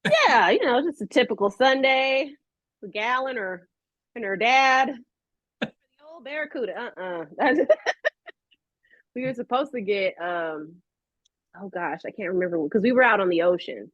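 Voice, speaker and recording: A woman chatting casually as an interview guest, telling stories in an animated, playful way and acting out quotes. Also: a little high, a little slow, pauses often, no room sound, dull audio.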